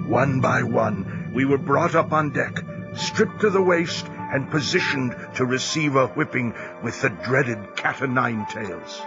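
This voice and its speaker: An actor narrating an audio drama as a former American sailor recounting his captivity, solemn, measured and dramatic. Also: medium pitch, expressive, creaky. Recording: dull recording under loud music.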